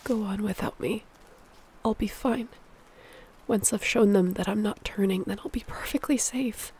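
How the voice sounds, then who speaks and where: A woman's voice: mid-range voice, normal speed, a little mellow, a little hiss or hum, natural voice. A woman playing a sick, protective, selfless apocalypse survivor in an audio roleplay, weary, earnest and pleading. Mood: anxious, tender.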